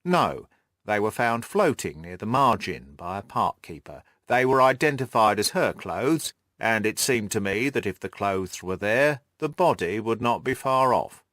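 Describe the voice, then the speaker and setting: A man voicing a gruff, irritable, cocksure police detective in an audiobook, blustering and snappish. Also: on the low side, expressive, unhurried, fairly bright tone, no room sound, studio quality. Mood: smug, bitter. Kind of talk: audiobook character dialogue.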